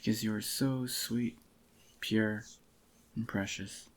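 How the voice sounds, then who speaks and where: A man with a fairly low voice plays a possessive, tender boyfriend in soft-spoken ASMR. His delivery is very flat and even, intimate and unhurried. The voice sounds slightly groggy, and he dotes closely on his listener. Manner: intimate, doting, unhurried murmur. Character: adoring possessive young boyfriend, tender and clingy. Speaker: ASMR voice actor.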